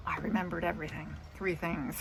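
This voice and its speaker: Low, creaky-voiced woman chatting casually. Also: fairly even intonation, brisk, background noise. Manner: meandering, trailing off into asides. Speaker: vlogger. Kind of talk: casual rambling chat about the day.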